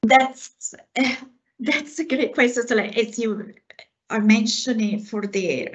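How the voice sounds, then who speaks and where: A woman's voice: a little high, takes it slowly. Italian-accented woman on a video call. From Italy. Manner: earnest, explains with hand gestures. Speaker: guest speaker, researcher. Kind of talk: Q&A after a talk, explaining. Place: home room, indoors. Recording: slightly dull video call audio.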